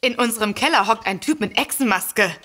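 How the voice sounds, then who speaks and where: A woman's voice: on the high side, brisk, a bit bright. An actress playing an alert, forthright woman in a comedic audio drama.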